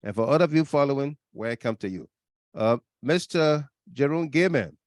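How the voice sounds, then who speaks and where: A man's voice: mid-range voice, expressive, moderate pace. A man hosting a casual live call-in talk show, chatting and greeting listeners.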